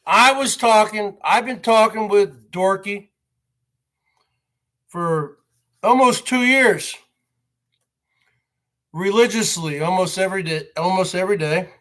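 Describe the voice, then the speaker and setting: A man's voice: sits high, a bit bright. An American man in his forties from New Jersey, ranting on a livestream in a rambling way and repeating his phrases insistently. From northern New Jersey, United States. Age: 40s. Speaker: livestream host.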